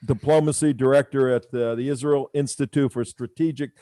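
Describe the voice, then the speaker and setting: A man's voice: mid-range voice, fairly even intonation, brisk, mellow, no room sound, studio quality. A man hosting a radio talk show, speaking casually and rambling with many fillers.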